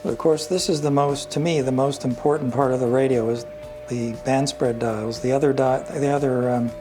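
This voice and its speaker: Older guy doing a relaxed gear walkthrough, average pitch, pauses a lot mid sentence, bit of music behind. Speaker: vintage radio reviewer. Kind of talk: casual product walkthrough.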